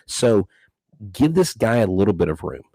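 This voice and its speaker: Deep-voiced man co-hosting a sports podcast. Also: sing-song, moderate pace, mellow, dry recording. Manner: rambling, many restarts and fillers. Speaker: podcast co-host. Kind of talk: casual sports podcast chat.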